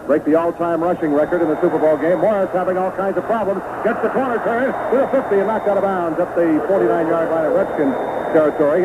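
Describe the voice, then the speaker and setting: A man doing live play-by-play football commentary for radio, describing the action fluently in clipped phrases. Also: a little high. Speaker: play-by-play sports announcer. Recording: vintage radio broadcast, narrow band, heavy noise.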